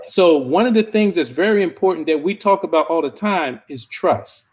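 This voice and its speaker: A man speaking as a panelist in an earnest conversation, deliberate and repeating himself for emphasis. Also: a little high, animated. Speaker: discussion panelist.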